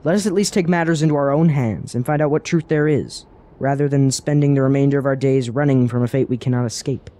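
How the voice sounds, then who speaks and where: An actor playing a thoughtful, questioning adventurer in a fantasy radio drama, earnest and persuasive, with formal phrasing. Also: male voice, mid-range voice, fast, dark tone, lightly acted.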